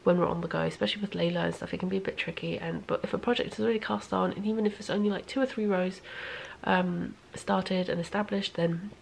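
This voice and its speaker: A woman's voice: fairly low pitch, steady tone, fast, gravelly, slight background noise, rough recording. A woman chatting casually in a vlog in a rambling way, audibly congested and sniffly, sometimes dropping almost to a whisper. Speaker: knitting vlogger.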